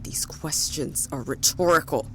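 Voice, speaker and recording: A woman's voice: low voice, expressive, measured pace, in a whisper, rough recording. A woman playing a bitter, threatening space-fleet officer in an audio drama, with a sarcastic, commanding manner. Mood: bitter menace. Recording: faint background noise.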